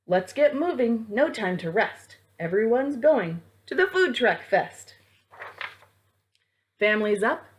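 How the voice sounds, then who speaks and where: A woman leading a children's storytime as a librarian, reading aloud and speaking directly to young listeners with prompts and questions. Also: on the high side.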